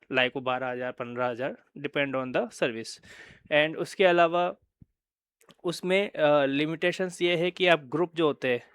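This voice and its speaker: A man's voice: fairly high pitch, a bit bright, no room sound. An Indian man giving an informal explanatory product presentation, hesitant, mixing in English phrases and often checking in with short tag words. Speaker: company presenter of wireless communication products.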